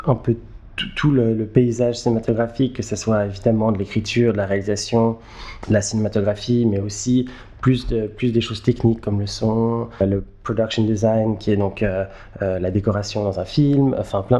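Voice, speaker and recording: A man, giving halting, conversational interview answers and repeating words as he thinks. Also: on the low side, fairly even intonation, warm tone. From Geneva, Switzerland. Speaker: interview guest, filmmaker.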